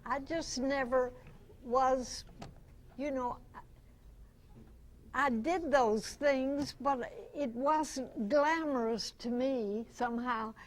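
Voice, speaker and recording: A woman in her nineties, a veteran actress, reminiscing before a club audience in a folksy, halting, chuckling way. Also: sits high. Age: 90s. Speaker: veteran radio actress, guest speaker. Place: club meeting room. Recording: noisy amateur meeting recording.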